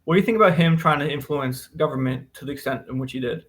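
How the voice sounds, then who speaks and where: A man with a somewhat high-pitched voice co-hosts a casual book discussion. He is conversational and opinionated, putting questions on the table and debating the answers. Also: quick pace, fairly bright tone. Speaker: podcast co-host discussing a book.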